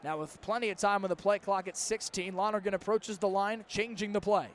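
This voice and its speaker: A young man calling live college football play-by-play for radio in crisp, clipped descriptive phrases. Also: high voice, steady tone, fairly quick. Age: young adult. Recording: outdoor stadium broadcast with background noise.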